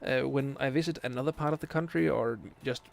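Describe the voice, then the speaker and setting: A man's voice: mid-range voice, a little fast, gravelly, faint music behind. A man in his late twenties with a Danish accent, streaming a game late at night and chatting through viewer questions, hesitant with many fillers as he searches for English words. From Denmark. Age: 20s. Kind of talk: casual live stream chat, reading chat questions.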